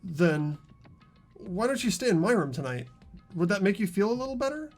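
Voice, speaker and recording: An earnest teenage schoolboy from a visual novel game, voiced by a man, gentle and anxious. His voice sits high and moves around freely, with hesitant stammers, over background music. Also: big pitch swings, normal speed, full character voice. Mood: reassuring, hesitant.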